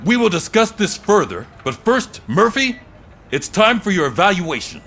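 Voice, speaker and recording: A police sergeant in charge of his squad. His voice is high and very animated, authoritative in game cutscene style, over faint ambience. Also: normal speed, a little hiss or hum, heavily acted. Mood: firm.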